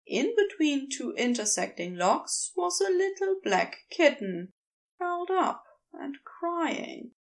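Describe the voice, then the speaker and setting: A woman's voice: sits high, big pitch swings, slow, gravelly. A woman reading a scripted piece of fiction as its narrator.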